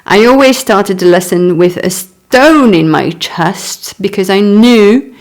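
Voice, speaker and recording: Woman, 30s, French first language, author reading her memoir, unhurried, chatty asides. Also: mid-range voice, a little mellow. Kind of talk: reading her own memoir aloud with comments.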